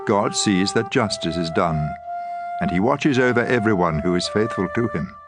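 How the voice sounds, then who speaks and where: A man reading scripture aloud in a solemn, measured, dramatized style. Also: on the low side. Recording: music behind the voice.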